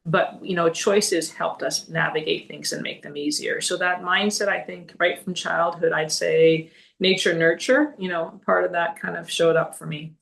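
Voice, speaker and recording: A middle-aged woman with a slightly low, gravelly voice, whose first language is Greek. She answers reflectively in an interview, earnest and full of fillers and restarts. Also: fairly even intonation, normal speed, fairly bright tone. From Canada. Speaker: podcast guest, leadership consultant.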